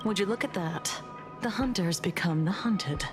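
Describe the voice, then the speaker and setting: A woman's voice: mid-range voice, moderate pace, natural voice. A woman voicing a composed, coolly amused woman in charge in an anime game, with a teasing air. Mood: cool amusement. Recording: loud music underneath.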